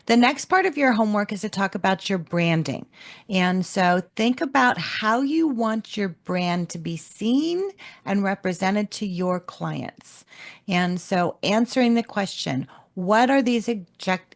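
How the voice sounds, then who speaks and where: A woman co-hosting a business webinar, explaining homework questions in a calm, measured teaching manner. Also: medium pitch. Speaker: webinar co-host, leadership coach. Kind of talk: instructional webinar talk.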